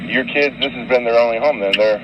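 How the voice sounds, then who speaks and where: A man's voice: medium pitch, fast. Distant, muffled American man asking questions. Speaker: interviewer. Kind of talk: informal questioning. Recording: distant, muffled, noisy recording.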